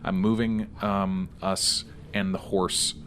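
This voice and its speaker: A man's voice: deep voice, flat delivery, slow pace, fairly bright tone, slight background noise. A man chatting casually as a player in a tabletop roleplaying game.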